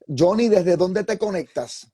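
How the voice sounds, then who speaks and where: A man's voice: sits high, lively intonation, unhurried, warm tone, husky. A man who preaches fervently with repeated praise phrases, then hosts an interview as a pastor.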